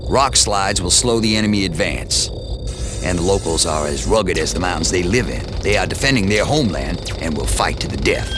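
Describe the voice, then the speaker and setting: An actor playing a gruff male commanding officer who gives orders in a clipped, commanding military briefing style. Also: low voice, animated, normal speed, background noise. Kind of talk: scripted game cutscene briefing. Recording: loud music behind the voice.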